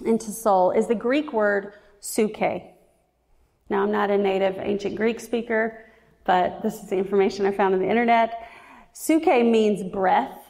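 A woman in her forties preaching a sermon, earnest and reflective, slowing down to stress key words. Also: mid-range voice, vocal fry, mellow. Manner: earnest, reflective, slows down for emphasis. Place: church. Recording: reverberant church hall.

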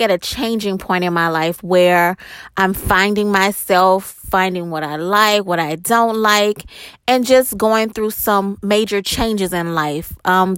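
A woman's voice: medium pitch, a bit bright, clear, resonant tone, dry recording. A woman in her late thirties hosting a solo podcast, talking candidly and reflectively, with frequent ums and you knows. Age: 30s. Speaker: podcast host.

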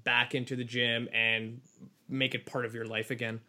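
A man hosting a podcast, asking relaxed, curious questions in a casual interview. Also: medium pitch, moderate pace. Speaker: podcast host.

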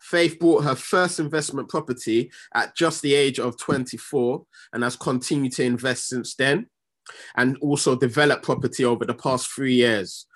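A man's voice: mid-range voice, fairly bright tone. Easygoing male podcast host, friendly, with plenty of ums. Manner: friendly, loose, many fillers. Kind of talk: podcast interviewing.

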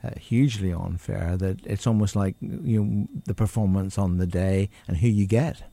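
A man hosting a radio interview, asking long, measured questions while feeling for the right words. His voice sits low and mellow, recorded close and dry. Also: creaky, no echo. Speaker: radio presenter.

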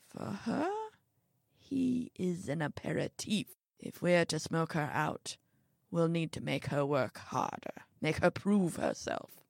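A woman voicing a sinister, irascible old male villain in an audiobook, with a slow, grumbling, contemptuous delivery. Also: sits low, animated, creaky, dry recording, clean studio sound, full character voice. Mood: scheming.

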